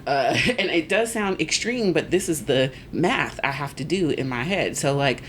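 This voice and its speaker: Deep-voiced woman in her forties, animated. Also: normal speed, slight background noise. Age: 40s. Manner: animated storyteller, acts out voices, laughs often. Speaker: podcast guest. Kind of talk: casual storytelling chat.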